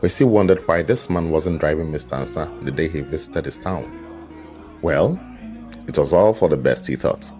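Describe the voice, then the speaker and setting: A man narrating a scripted folktale as a storyteller, with a measured, dramatic delivery. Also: rumbling low, lively intonation, a little mellow. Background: background music with guitar.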